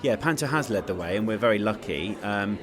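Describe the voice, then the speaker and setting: A man's voice: lowish voice, expressive, fairly quick, a crowd in the background. A man giving casual interview answers, hesitating and repeating words as he searches for them. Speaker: event organiser and performer.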